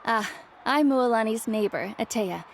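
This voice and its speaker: Atea, high-voiced teasing auntly neighbor. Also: female voice, unhurried, noisy background. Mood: teasing, friendly. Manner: familiar, mock-scolding. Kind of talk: video game character acting.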